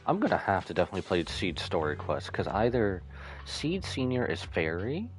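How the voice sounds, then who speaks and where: A man's voice: low-pitched, big pitch swings, normal speed, faint music behind. A man giving casual playthrough commentary as a streamer, with wry, slangy, sweary asides. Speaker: streamer reacting to a game story.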